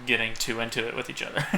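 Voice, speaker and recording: Bright-voiced man in halting casual banter. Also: mid-range voice. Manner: halting, chuckles often. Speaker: podcast co-host. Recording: faint background noise.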